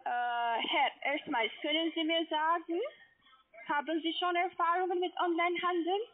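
A woman with a foreign accent, non-native in German, giving a telephone sales pitch. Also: fairly even intonation, moderate pace. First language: not German. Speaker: call-centre caller selling trading accounts. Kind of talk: sales pitch on a phone call. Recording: tinny narrowband phone line.

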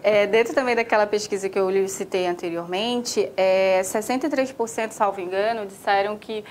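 A woman's voice: medium pitch, normal speed, a little hiss or hum. A woman interviewing a political candidate for a news channel, conversational with hesitant fillers.

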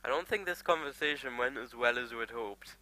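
Gamer from Newcastle, mild Geordie accent, casual swearing, very bright voice. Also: male voice, medium pitch, fairly quick, low-quality recording. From Newcastle, England. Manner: chatty, swearing, joking. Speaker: gaming YouTuber. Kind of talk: let's play commentary.

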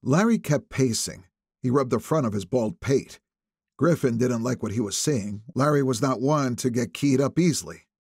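A man narrates a thriller novel in a slightly husky voice with some creak in it. The reading is scripted audiobook narration, recorded very dry with no room around it. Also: medium pitch, animated, normal speed, a little mellow, no echo.

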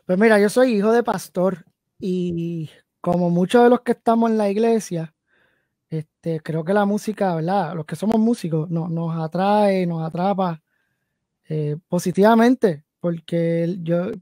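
A man's voice: sits high, unhurried, dark tone, no room sound. A man in his late thirties from New Haven, Connecticut, chatting as a guest musician on a video call from his home studio, rambling and thinking aloud with many fillers. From New Haven, Connecticut, USA. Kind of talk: casual interview chat. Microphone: headset and video call.

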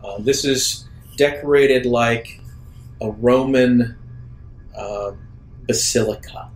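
A man narrating an improvised sci-fi tabletop roleplaying game as game master, describing scenes in a halting, deliberate way. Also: mid-range voice, faint music behind.

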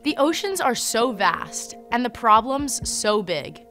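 A woman's voice: fairly high pitch, very animated, slow pace, a bit bright, soft background music. A teenage girl reporting in a news studio, reading scripted interview questions.

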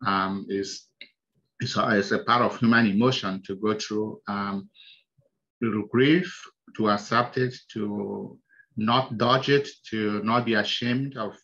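Nigerian man, bright voice over a call. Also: lowish voice, steady tone. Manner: halting, many ums, soft-spoken. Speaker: hospice chaplain. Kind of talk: slide presentation on a video call. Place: small indoor room. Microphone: video call. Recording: dull lo-fi video call audio.

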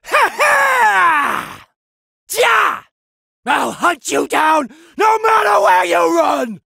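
Anime game villainous cat deity: high, raspy-creaky, hugely animated, petulant and imperious, fat glutton with a blustering bite. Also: male voice, drawn-out words, no room sound, raised voice, studio quality, heavily acted. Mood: furious, blustering. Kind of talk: anime video game voice acting.

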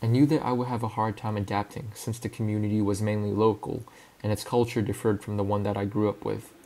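A young man from Hong Kong, a native Cantonese speaker, calmly narrating a reflective personal voice-over in English. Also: fairly low pitch. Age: young adult. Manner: calm, reflective, measured. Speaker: student filmmaker.

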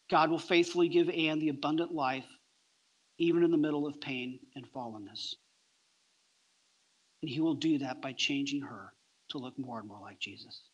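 A middle-aged man preaching a sermon on a church stage, emphatic, with deliberate pauses between short phrases. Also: on the high side, slightly rough, poor audio. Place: large church auditorium, on stage.

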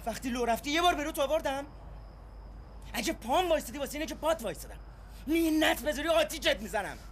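A bitter cornered fugitive. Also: male voice, very high voice, very animated, a little hiss or hum, full character voice. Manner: hushed, bitter, then shouting in anger. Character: desperate young man on the run after killing. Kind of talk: TV drama acting.

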